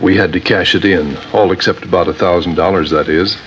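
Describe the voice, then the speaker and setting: A mild middle-aged husband in a vintage radio play. His low voice is courteous and a little hesitant, with a muffled sound and faint noise. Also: fairly even intonation, fairly quick, fairly bright tone. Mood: mild, reserved. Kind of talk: old-time radio drama acting. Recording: dull, faintly noisy vintage radio recording.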